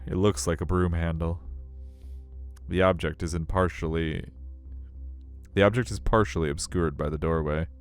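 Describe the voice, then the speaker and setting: Deep-voiced man narrating a game. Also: fairly even intonation, moderate pace, pauses often, clean tone. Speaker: streamer reading a visual novel aloud. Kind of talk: storytelling narration with casual asides. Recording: music under the voice.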